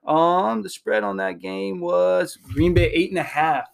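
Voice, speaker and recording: A young man co-hosting a casual sports podcast, talking in a halting way with many ums and restarts. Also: fairly high pitch, big pitch swings, gravelly. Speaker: podcast co-host.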